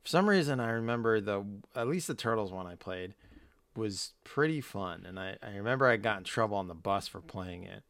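A man in casual chat, rambling with lots of fillers and swearing, hoarse as he loses his voice. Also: fairly low pitch, animated, a little fast, fairly bright tone, no room sound. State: losing his voice. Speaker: podcast co-host.

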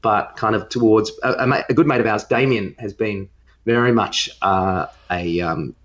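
A man's voice: fairly low pitch, normal speed, muffled recording. A man hosting a podcast, talking casually about films and often repeating words as he searches for the next one. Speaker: podcast host. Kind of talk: casual film discussion.

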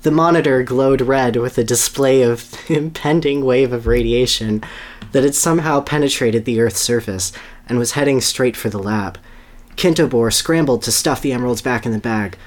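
A podcast host giving an animated dramatic reading of a story, with amused asides. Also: mid-range voice, normal speed.

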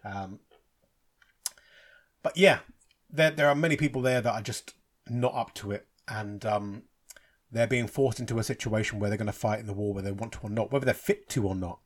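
A man's voice: on the low side, brisk, pauses often. A man from England giving a casual, rambling film review as a podcast host, with lots of fillers and restarts.

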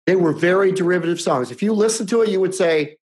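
Rambling man in his fifties, higher voice. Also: quick pace, a little mellow, husky. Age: 50s. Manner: rambling, restarts often, chuckles. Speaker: podcast co-host. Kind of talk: casual chat.

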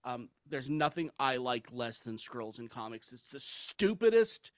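A man hosting a casual podcast chat about movies, opinionated and quick to laugh. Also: medium pitch, sing-song, no room sound, studio quality. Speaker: podcast host.

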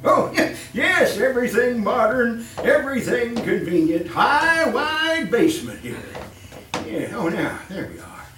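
A man's voice: very high-pitched, very animated, measured pace, echoey room, recorded from a distance, music in the background, full character voice. A man playing a fussy, murderous master of the house in a 1930s-style radio horror play, with a stammering, repetitive, chuckling delivery. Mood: chuckling, eager. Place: live stage performance in a room.

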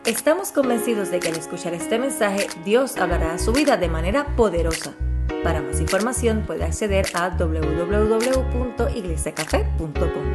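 A woman reading a recorded church welcome announcement in a smooth, even voice. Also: medium pitch, moderate pace. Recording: announcement over loud music.

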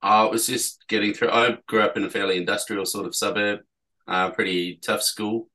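Man, middle-aged, Australian accent, deep voice, halting casual interview answers. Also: steady tone, bright, forceful tone, poor audio. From Perth, Western Australia. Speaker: interviewee, tour guide.

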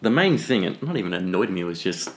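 A man's voice: sits low, big pitch swings, fast. A man on a film discussion panel, chatting casually with mild, hedging understatement. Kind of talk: casual chat.

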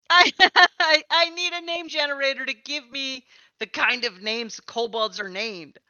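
A woman's voice: high-pitched, a little slow, sharp tone, dry recording, muffled recording. A woman hosting a casual, chatty podcast about tabletop gaming, with a playful way of talking. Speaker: podcast host and interviewer.

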